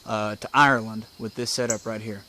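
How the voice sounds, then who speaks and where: A man's voice: fairly low pitch, flat delivery, fairly quick, bright, forceful tone. Plainspoken man, noisy outdoor recording. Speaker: hobbyist presenter. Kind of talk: casual explanatory walkthrough. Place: outdoors beside a house porch. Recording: outdoor recording with clear insect and bird noise. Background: insects, birds, outdoor ambience.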